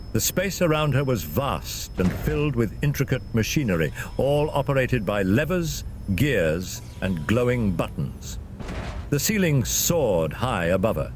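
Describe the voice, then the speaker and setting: A male narrator tells a fantasy story in an expressive, dramatic way. His pitch is mid-range and moves around a lot, with clear ambient rumble behind him.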